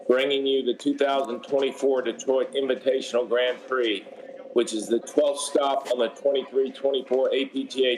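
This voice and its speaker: Brief: male play-by-play announcer, measured, formal sponsor reads, faint ambience. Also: medium pitch, a little hiss or hum, rough recording. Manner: steady, formal reading of sponsor lists. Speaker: play-by-play commentator. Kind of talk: sports broadcast commentary.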